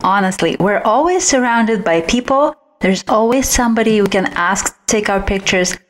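A woman's voice: mid-range voice, lively intonation, normal speed, slight background noise. A woman speaking as an expert guest in an interview, explaining advice in a conversational way. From originally from outside the United States. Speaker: guest, visual branding expert.

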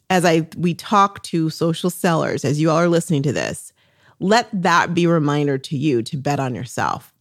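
Woman coach hosting her own podcast, a bit low-voiced, talks eagerly and circles around her points. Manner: enthusiastic, rambling, many restarts. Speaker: podcast host and social selling coach. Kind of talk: podcast chat.

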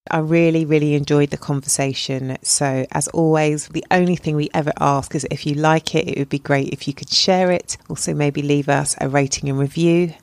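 A woman's voice: deep voice, fairly even intonation, normal speed, few pauses, darkish tone, no room sound. A woman hosting a podcast, asking questions in a friendly, chatty way. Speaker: podcast host. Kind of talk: podcast interviewing.

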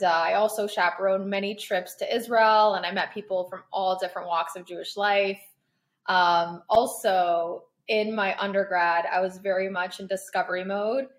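A young woman, born in Paris and raised in Toronto, answering interview questions in a chatty, searching way with many ums. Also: on the low side. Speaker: guest, content creator.

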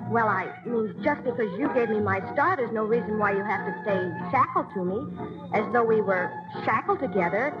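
Woman playing a spirited young showgirl, high voice, muffled old radio with music under. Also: a little fast, natural voice. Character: spirited young female stage dancer. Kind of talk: 1940s radio acting.

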